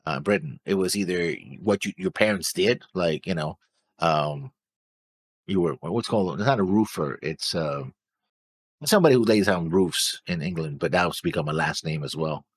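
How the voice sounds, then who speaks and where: A man's voice: deep voice, moderate pace, breathy edge. A man hosting a reaction show, chatting casually in a rambling, explanatory way. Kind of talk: casual chat.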